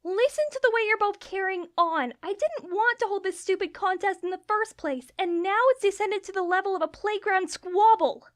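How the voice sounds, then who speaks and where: A woman's voice: very high-pitched, normal speed, hardly any pauses. A woman voicing an exasperated, battered female unicorn in a cartoon-style fan audio drama, weary but earnest. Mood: fed up.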